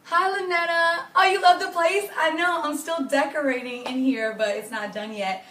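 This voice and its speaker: A young woman with a hoarse, raspy voice, chatting earnestly with viewers during a live stream from her home. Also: high-pitched, steady tone, moderate pace, bright, forceful tone, distant mic. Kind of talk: casual faith-based advice talk to viewers. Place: home living room. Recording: echoey room, voice off-mic.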